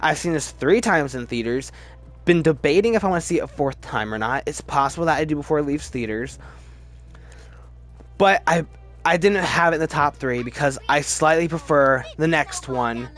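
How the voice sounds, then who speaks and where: A man giving casual, opinionated commentary as a video host, emphatic and halting, often restarting his sentences. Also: a little high, lively intonation, a bit bright, soft background music. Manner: chatty, halting, restarts sentences mid-word. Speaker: movie review video host. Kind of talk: casual ranked-list movie commentary.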